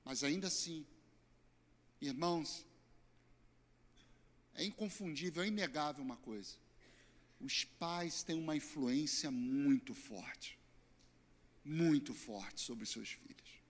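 Hoarse middle-aged Brazilian pastor, fairly high voice, earnest sermon swinging loud to soft. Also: male voice, animated, slow pace, pauses often. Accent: Brazilian Portuguese. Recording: church hall reverb.

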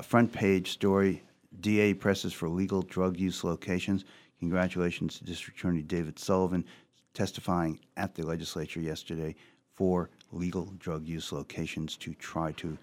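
A man's voice: low-pitched, darkish tone, a little hiss or hum. A man hosting a local radio talk show, chatting through the day's newspaper headlines with asides.

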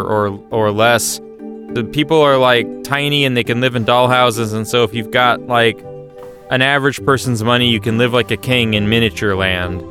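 A man on a podcast panel giving a casual film review in a halting, rambling way. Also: medium pitch, a bit bright.

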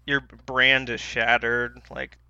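Gravelly, forceful man chatting casually. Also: mid-range voice, level tone, takes it slowly, muffled recording, a little hiss or hum. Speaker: podcast co-host. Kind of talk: casual chat.

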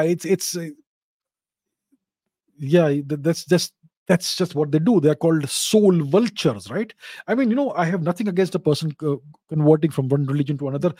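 Mellow higher-pitched Indian man explaining. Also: breathy edge, no room sound, studio quality. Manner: emphatic, repeats key phrases for stress. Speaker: host of a question-and-answer show. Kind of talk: unscripted explanatory commentary answering audience questions.

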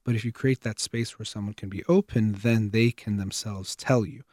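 A middle-aged man hosting a call-in psychology radio show, explaining things in a calm, measured way. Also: fairly low pitch, no echo, clean studio sound. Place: radio studio.

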